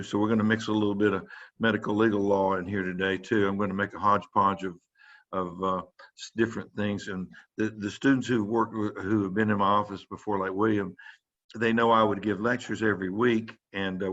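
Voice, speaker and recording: An older man talks through an informal lecture for students as a physician. His voice sits fairly low and he wanders through his points with many fillers and restarts. The audio is dull and muffled, like a video call. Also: flat delivery, a little fast, a bit bright. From South Carolina.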